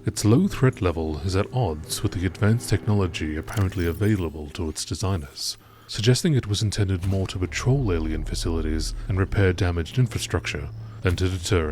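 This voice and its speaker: A man reading scripted science-fiction lore in the measured, informative style of a nature documentary narrator. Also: low-pitched, a little mellow, a little hiss or hum.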